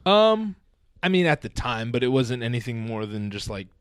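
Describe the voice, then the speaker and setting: A man's voice: mid-range voice, sing-song, moderate pace, clean tone, no echo, clean studio sound. A man in his thirties, a podcast guest, talking about his upbringing in a laid-back, nonchalant way and swearing freely. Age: 30s. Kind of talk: casual chat.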